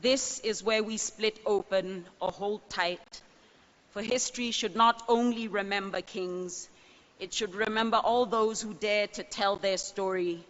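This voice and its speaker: Botswana woman reciting a poem real slow, big echoey hall. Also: mid-range voice, steady tone, fairly bright tone. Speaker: poet. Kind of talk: spoken word poetry performance.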